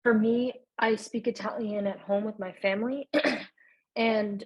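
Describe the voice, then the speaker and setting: A woman's voice: on the high side, steady tone, measured pace, husky, low-quality recording. A teenage girl student on a video call, chatty, with many fillers. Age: teens. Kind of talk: panel testimonial.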